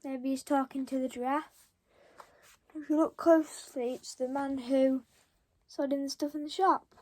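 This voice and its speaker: Little kid reading a picture book out loud, high voice, slow, chats and stumbles a bit. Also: little pitch movement. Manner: halting, commenting on pictures to listeners. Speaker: storybook reader. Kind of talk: read-aloud storytelling with chatty asides.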